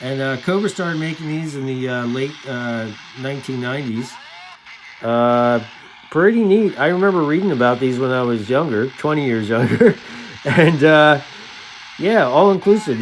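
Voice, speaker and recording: A middle-aged man presenting as a radio hobbyist, casually showing gear in a halting, conversational way. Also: medium pitch, poor audio. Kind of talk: casual hands-on gear demonstration. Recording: clear background noise.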